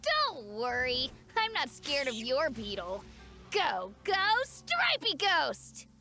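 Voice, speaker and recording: A woman's voice: sits high, wildly swooping pitch, slow, sharp tone, faint music behind, heavily acted. A woman voicing an eager, confident little child in a video game, bold and bragging. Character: eager, confident little child who loves beetle fights.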